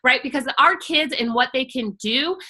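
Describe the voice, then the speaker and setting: A middle-aged woman speaking with a bright, forceful edge and a slightly high pitch. She talks passionately and emphatically, as if advising parents in a relaxed workshop chat. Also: moderate pace. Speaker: IEP coach and workshop host. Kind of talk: casual webinar conversation.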